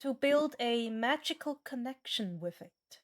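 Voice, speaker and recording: A woman's voice: on the high side, expressive, measured pace. A woman running a tabletop role-playing game as game master, narrating and explaining to the players.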